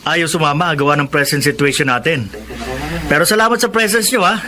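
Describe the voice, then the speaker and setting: A man's voice: a little high, fairly quick, crowd noise, close to an everyday voice. An actor playing a friendly young man hosting a class reunion. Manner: cheerful, peacemaking. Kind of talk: radio drama acting.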